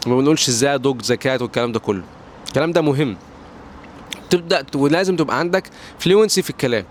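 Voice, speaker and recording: A man's voice: medium pitch, fast talker, a little hiss or hum. A young Egyptian man with an Egyptian accent, giving casual advice in a vlog, rambling with many restarts and slipping in English words. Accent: Egyptian Arabic. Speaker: English pronunciation tiktoker. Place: at home near a balcony door.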